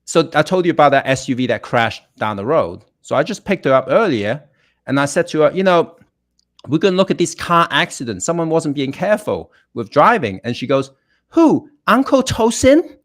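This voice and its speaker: Animated man with a swooping pitch. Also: normal speed. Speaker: guest musician. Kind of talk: casual chat.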